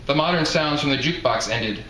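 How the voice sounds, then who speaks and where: A man's voice: a little high, a little fast, sharp tone. A man reading first-person literary narration aloud from his own novel as its author. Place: live reading event indoors. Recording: echoey room, faint background noise.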